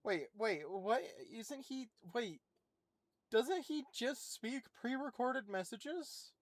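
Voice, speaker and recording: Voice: mid-range voice, animated, dry recording. Casual game streamer thinking aloud. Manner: informal, halting, thinking aloud. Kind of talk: casual reaction commentary on a game. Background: soft game music.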